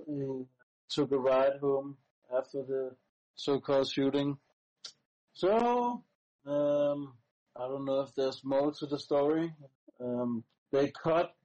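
Slow, dark-voiced Danish man. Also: on the high side, muffled recording. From Denmark. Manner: halting, many fillers and restarts, blunt and crude. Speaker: guest on a live stream. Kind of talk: casual rambling monologue.

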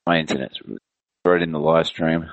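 A man's voice: extremely low pitch, little pitch movement, normal speed, dry recording. A man hosting an online call show, talking in a loose, rambling way with many restarts. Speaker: podcast host. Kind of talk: casual online chat. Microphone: video call. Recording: dry lo-fi call audio.

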